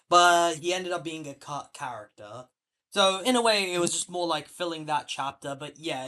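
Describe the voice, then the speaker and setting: A man's voice: fairly high pitch, bright, forceful tone. A man hosting a casual film-talk podcast, rambling with lots of fillers and restarts. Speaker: podcast host.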